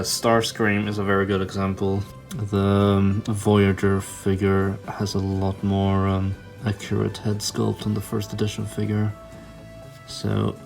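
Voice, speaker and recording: A man's voice: lowish voice, creaky, darkish tone. A man giving casual hands-on hobby commentary, thinking aloud in a hesitant way as he works. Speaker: toy customizer hosting a hobby video. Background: background music.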